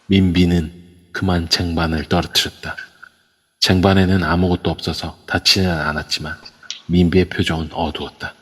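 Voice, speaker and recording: A man narrates a spoken drama in a steady, storytelling way. His voice is very deep and husky. It carries long reverb through a phone voice chat. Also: moderate pace. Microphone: phone in an online voice room.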